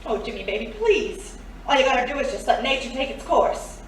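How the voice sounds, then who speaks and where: A woman's voice: sits high, animated, fairly quick. An actress playing a jealous, scheming woman in a period noir radio drama, dramatic and pleading. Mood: desperate pleading. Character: desperate scheming jealous woman, old flame of a crook. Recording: roomy, distant, faint background noise.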